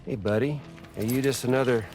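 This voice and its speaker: A man's voice: mid-range voice, steady tone, a little fast, music in the background, close to an everyday voice. A man playing a taunting, gleefully menacing villain in a video game, with a mocking, theatrical drawl. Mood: mocking menace. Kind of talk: cinematic video game acting.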